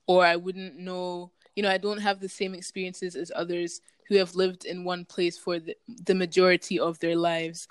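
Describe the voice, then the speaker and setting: Young woman, german was her first language, lowish smooth voice, pretty flat, calm. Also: no room sound. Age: young adult. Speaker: podcast guest, university student. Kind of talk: casual interview answers.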